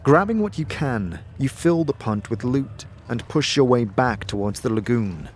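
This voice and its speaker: A man narrating a fantasy audio series with theatrical, measured, suspenseful phrasing. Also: medium pitch, lively intonation, slight background noise. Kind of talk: dramatic second-person fantasy narration. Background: loud music and sound effects.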